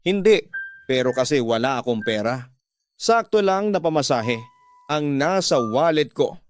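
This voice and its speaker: A man's voice: medium pitch, animated, a little slow, a little hiss or hum, close to an everyday voice. A man voicing a sulky, complaining teenage girl in a radio drama, whiny and indignant. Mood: embarrassed. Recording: music behind the voice.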